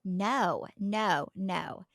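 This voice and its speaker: A middle-aged American woman chats casually as a podcast co-host. Her pitch sits in a typical female range, and she talks in an animated, teasing way, doubling up words to make a point. The sound is dry and close. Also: drawn-out words, dry recording. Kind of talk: casual chat.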